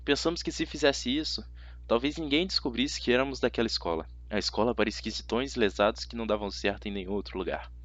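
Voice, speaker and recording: A man with a Brazilian accent narrating a first-person story as an amateur audiobook reader, dramatic and expressive. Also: medium pitch, quick pace. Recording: slightly dull, dry audio.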